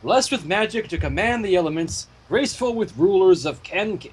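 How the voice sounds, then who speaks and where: A man reading a fan fiction story aloud as a dramatic, theatrical narration with deliberate delivery. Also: high-pitched, animated, breathy edge.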